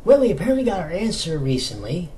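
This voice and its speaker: An American man giving casual fan news commentary as a solo video host, in a halting, conversational manner with rhetorical questions. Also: high voice, sing-song, dark tone. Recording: noticeable background noise.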